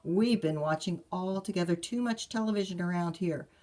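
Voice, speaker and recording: A woman's voice: fairly low pitch, normal speed, darkish tone, recorded from a distance, natural voice. Lady reading as a firm mama bear from a kids book, sensible, no nonsense. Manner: firm, matter-of-fact. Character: firm, sensible mother bear laying down rules. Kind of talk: children's picture book read-aloud.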